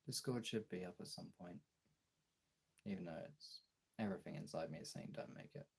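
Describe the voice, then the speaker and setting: A man chatting candidly on a livestream in a halting, husky, gravelly voice, swearing casually, recorded on a phone. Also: deep voice, darkish tone. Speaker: live streamer and voice actor. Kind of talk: casual confessional livestream chat.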